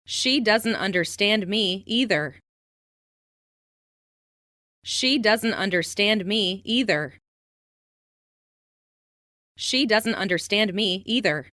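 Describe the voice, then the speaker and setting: A woman reading scripted English example sentences for language learners, enunciating carefully and deliberately. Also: medium pitch, bright, forceful tone, dry recording, clean studio sound. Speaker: English lesson narrator.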